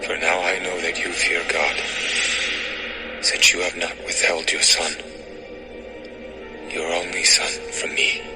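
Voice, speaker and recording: A man's voice: deep voice, flat delivery, takes it slowly, pauses often. A man playing a solemn, authoritative angel in a dramatized scripture recording, proclaiming in a stately manner. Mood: urgent command. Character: angel of the Lord, solemn authoritative male messenger. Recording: music under the voice.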